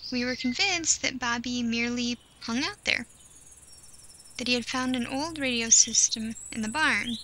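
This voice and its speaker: A woman narrates a first-person horror tale in a fairly high voice. Her delivery is measured and deliberate, broken by storyteller's pauses. Clear outdoor ambience of insects and birds runs behind her words. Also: bright, forceful tone, rough recording. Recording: clear background noise of nature ambience.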